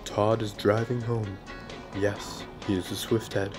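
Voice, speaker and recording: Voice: mid-range voice, flat delivery, a little slow, over music, natural voice. A snarky narrator voiced by an actor for a radio play. The delivery is dramatic and exasperated, bickering with the very character being narrated. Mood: wry narrating. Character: exasperated, lonely narrator who mocks the man he follows.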